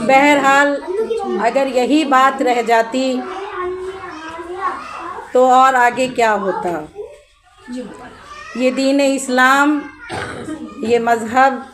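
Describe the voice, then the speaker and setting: Slow, high-pitched woman preaching to a crowd. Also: steady tone. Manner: declamatory, with rhetorical questions and repeated phrases. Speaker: majlis orator. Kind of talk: Shia religious sermon to a gathering. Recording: live gathering with crowd noise. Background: congregation crowd.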